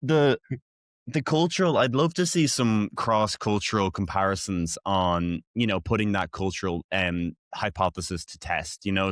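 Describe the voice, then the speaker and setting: A man with a Dublin accent hosting a casual interview, chatty and animated, with many fillers. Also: on the low side, no room sound, studio quality. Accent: Irish, Dublin. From Ireland, Dublin. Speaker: podcast host.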